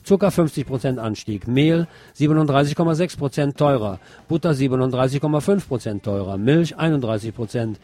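A man's voice: mid-range voice, lively intonation, fairly quick, hardly any pauses, dark tone, dry recording. A man reading scripted radio news as the presenter.

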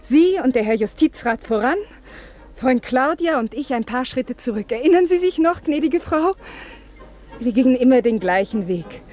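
An actress playing an anxious, devoted former governess in a German radio drama, speaking in a deferential, formal and hesitant way. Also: female voice, sits high, expressive, moderate pace, darkish tone, soft background music. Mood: nostalgic.

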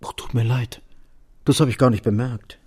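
A man's voice: medium pitch, steady tone, moderate pace, dark tone, husky, lightly acted. An actor playing a weary, awkward middle-aged office man and father in a radio drama, hesitant and self-conscious. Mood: tired, apologetic.

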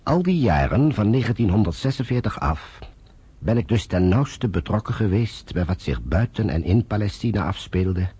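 A solemn old retired general narrates a radio play in a grave, measured way. His voice is very deep and heavily husky, with a dark, mellow tone. He moves through a wide, lively pitch range. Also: exaggerated voice. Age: older. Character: retired British army general, older man, solemn. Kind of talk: 1950s radio drama narration.

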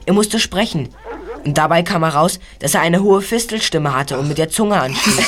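An actor playing Bob, a studious boy detective, reporting in a factual, measured storytelling manner in an audio drama. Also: a little high, a bit bright, close to an everyday voice. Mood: informative.

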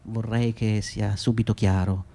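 An actor playing a condescending, self-justifying labour agency manager in a radio drama, with patronising, hesitant, repetitive speech. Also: male voice, lowish voice, level tone, measured pace, mellow. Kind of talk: radio drama monologue and dialogue.

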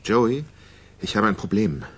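A man playing a good-natured, self-deprecating journalist in a radio drama, very husky, with a casual delivery. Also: on the low side, lively intonation, unhurried. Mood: anxious. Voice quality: very husky, rough. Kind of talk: German radio drama dialogue.

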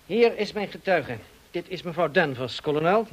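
An actor playing a brash, insinuating blackmailer in a radio play, theatrical, pushy and taunting. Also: male voice, on the high side, lively intonation, moderate pace. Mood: insistent. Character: brash, insinuating male blackmailer, goading and excitable. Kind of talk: Dutch radio drama acting.

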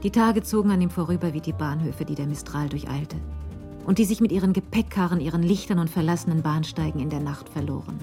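Casting: female narrator for a radio crime drama, warm dark tone, slightly low, faint music behind. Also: fast.

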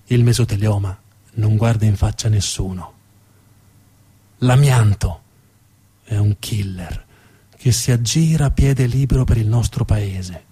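A man's voice: on the low side, unhurried, pauses often, mellow, slightly rough. A man narrating as a stage storyteller, dramatic in delivery and repeating words for emphasis.

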